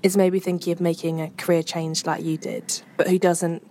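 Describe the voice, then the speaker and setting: A woman's voice: on the low side, level tone, moderate pace, mellow. A woman podcast host asking interview questions in a friendly, encouraging way.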